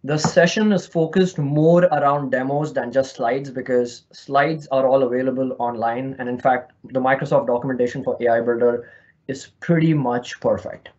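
A man's voice: mid-range voice, fairly even intonation, normal speed, darkish tone, lo-fi sound. A man from India with a slight Indian accent, presenting a virtual conference session in a friendly, explanatory way over an online call. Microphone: remote call mic.

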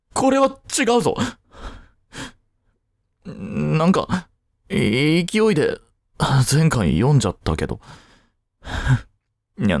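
A man playing an aloof young boyfriend in an intimate voice drama, grumpy but soft-hearted, speaking haltingly close to the mic. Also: mid-range voice, gravelly, dry recording, exaggerated voice. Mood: tearful, flustered. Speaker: amateur voice drama creator and actor.

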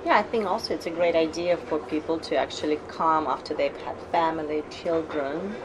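Low-voiced Russian-accented woman in her forties. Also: normal speed, noisy background. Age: 40s. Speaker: pageant titleholder guest. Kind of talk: casual TV interview. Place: studio set with sofa and flowers.

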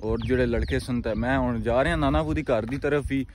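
A man's voice: mid-range voice, brisk, background noise. A young man in his twenties chatting casually to a phone camera as a vlogger, outdoors in a village, greeting his viewers as friends. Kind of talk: casual vlog chat to camera. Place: outdoors in a village, fields and courtyard. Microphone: phone camera at arm's length.

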